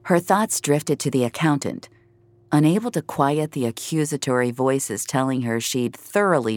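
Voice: medium pitch, no room sound, clean studio sound. A narrator reading scripted audio fiction in a wry, hard-boiled storytelling manner.

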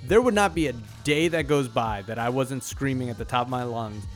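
A man's voice: mid-range voice, fairly quick, faint music behind. A man co-hosting a podcast, talking casually and emphatically in slangy language, often acting out what people say. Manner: emphatic, slangy, acts out quotes. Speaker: podcast co-host. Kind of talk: casual opinionated banter.

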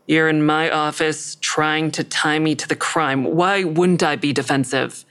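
A woman's voice: low voice, fairly even intonation, measured pace, fairly bright tone, smooth. An actress playing a guarded, defiant woman who narrates her own crime story in a wry, sarcastic way. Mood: defensive. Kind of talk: audio drama acting with first-person narration.

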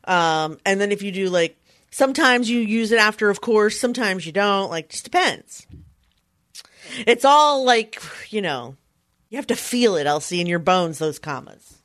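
A woman with a Philadelphia accent hosting a podcast, talking in an animated, teasing way with lots of restarts. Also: mid-range voice, moderate pace, no echo, studio quality. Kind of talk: casual conversation.

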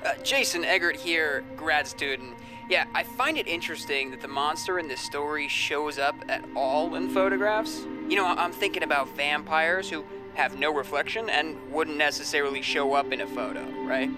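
A man's voice: a little high, moderate pace, few pauses, over music, lightly acted. An actor playing an earnest, curious young male grad student in an audio drama, casual, thoughtful and tentative.

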